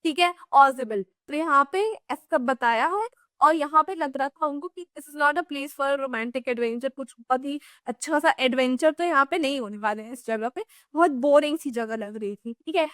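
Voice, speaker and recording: A woman's voice: high voice, moderate pace, a bit bright, no echo, clean studio sound. A young Indian woman teaching an exam revision lesson in a chatty way, switching languages and often saying "you know". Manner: chatty code-switching explainer with many "you know" fillers. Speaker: English teacher.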